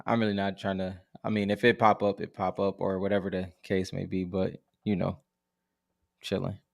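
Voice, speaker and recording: A man's voice: deep voice, little pitch movement, normal speed, gravelly. A man co-hosting a live podcast with laid-back, slangy, colloquial banter.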